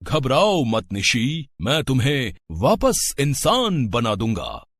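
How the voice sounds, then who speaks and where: A woman voicing an obliging adult male village magician with a husky voice in a children's story. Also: a bass voice, sing-song, slow, voice pushed down, heavily acted. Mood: reassuring.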